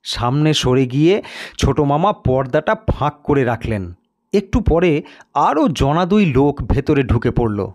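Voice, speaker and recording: Slow, expressive male storyteller. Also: medium pitch. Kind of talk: dramatic scripted story narration.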